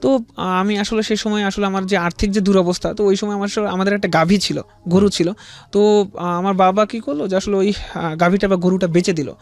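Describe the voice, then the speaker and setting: High-voiced young Bangladeshi man, halting. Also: steady tone. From rural Bangladesh. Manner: halting, repetitive, deferential. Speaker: interview guest. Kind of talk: personal life story told in interview.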